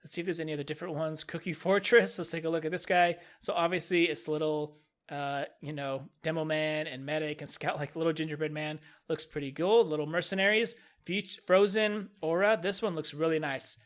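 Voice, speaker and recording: A man's voice: on the high side, fairly even intonation, a little fast, fairly bright tone. A man stuffed up with a cold, casually and enthusiastically reviewing a new game update as a gaming video host. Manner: upbeat, enthusiastic, repeats "really, really". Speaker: gaming YouTuber.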